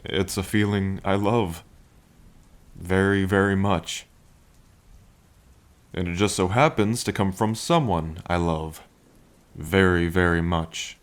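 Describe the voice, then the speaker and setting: Man voicing a deep, languid dragon king. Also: slow pace, long pauses. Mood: tender, smitten. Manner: languid, teasing, theatrical, tender. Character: playful, lovestruck, greedy male dragon king. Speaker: roleplay voice performer. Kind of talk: intimate ASMR roleplay acting. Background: rain.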